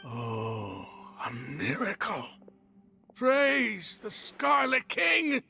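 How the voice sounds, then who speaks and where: A fanatical bandit leader in a video game. His fairly high, creaky voice is dramatic and fervent, breaking into loud outbursts of devotion. Music plays behind him. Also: huge pitch swings, extremely slow, exaggerated voice. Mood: awed, exultant. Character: fanatical desert bandit leader, devout follower of his god.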